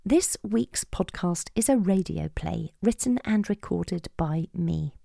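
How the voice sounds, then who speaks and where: A middle-aged woman reading a scripted podcast introduction in a measured way, hushed at phrase endings. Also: on the low side, creaky, no echo, studio quality. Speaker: podcast host and playwright.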